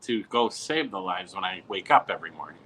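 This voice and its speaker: A man's voice: sits low, expressive, fairly quick, fairly bright tone, slight background noise, low-quality recording. A man chatting casually as a podcast guest, bantering and repeating himself with mock boastfulness. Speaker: podcast guest who works at a TV station. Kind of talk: casual, bantering sports chat.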